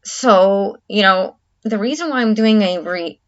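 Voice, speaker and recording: A woman's voice: medium pitch, a little slow. A woman vlogger talking candidly to camera, earnest and reflective, often stopping and restarting her sentences. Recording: dull lo-fi recording.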